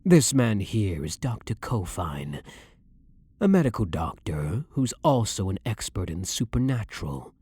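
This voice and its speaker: A blunt, brooding vampire hunter, acted by a man for an ASMR roleplay. The voice is very low and husky, with slow, measured phrasing that swings expressively in pitch. He sounds controlled and dominant, speaking softly to the listener. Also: big pitch swings, no room sound, heavily acted. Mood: reassuring. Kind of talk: ASMR roleplay, dramatic monologue.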